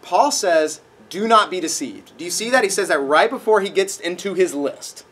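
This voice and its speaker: A young man preaching a sermon in a dark auditorium, a little nasal from a cold, animated and acting out voices in his stories. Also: high-pitched, normal speed, slightly rough. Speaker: pastor. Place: dark auditorium with projected screen.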